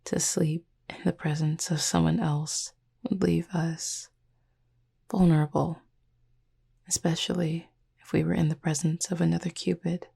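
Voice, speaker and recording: A calm cupid roommate performed by a woman murmurs in a soft, mellow half-whisper full of heavy creak. The delivery is slow and formal, proud yet caring and gently teasing, made for a roleplay audio that lulls a listener to sleep. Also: deep voice, exaggerated voice. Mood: aloof, gently teasing. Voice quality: breathy, husky half-whisper.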